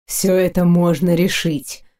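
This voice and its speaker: A woman's voice: fairly low pitch, level tone, unhurried, warm tone, natural voice. A woman voicing a stern young male knight in an audiobook, a tense and stubborn lover who speaks tersely and insistently. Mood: grim, persuading. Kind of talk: audiobook character voice.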